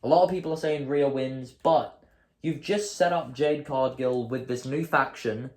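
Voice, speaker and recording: A hoarse man hosting his own show, chatting casually and thinking aloud, often changing his mind mid-sentence. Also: medium pitch, fairly even intonation, normal speed, a little mellow, echoey room. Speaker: host. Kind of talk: casual solo commentary.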